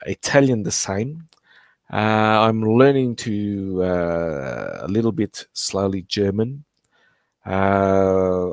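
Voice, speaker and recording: Rambling man with a lowish voice. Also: dry recording. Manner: rambling, halting, with many fillers and restarts; swears freely and jokes with the chat. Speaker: streamer discussing archaeology. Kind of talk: casual live-stream talk, reading chat.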